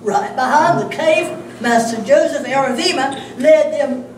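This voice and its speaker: A woman voicing a chatty village girl puppet who tells what she witnessed, in an animated, storytelling manner. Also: high voice, a little slow, a crowd in the background. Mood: wondering. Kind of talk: amateur church puppet show. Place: large echoey church sanctuary. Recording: distant echoey church recording with noise.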